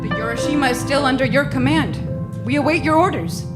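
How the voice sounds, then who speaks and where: Woman playing a high-voiced loyal submarine first officer. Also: fairly quick, loud music behind the voice, close to an everyday voice. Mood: steady. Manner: earnest, dutiful. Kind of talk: live audio drama acting.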